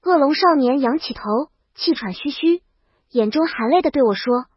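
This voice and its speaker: A woman's voice: high-pitched, lively intonation, normal speed, no echo, highs cut off. A woman reading a scripted first-person comic story as its brash, exasperated heroine. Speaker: audio story narrator.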